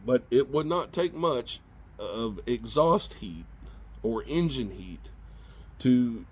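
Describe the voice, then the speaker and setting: A middle-aged man giving a casual hands-on tutorial, plain-spoken and halting, often checking in with a short question. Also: mid-range voice, a little mellow, a little hiss or hum. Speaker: how-to video presenter. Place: truck bed by a cluttered garage or workshop.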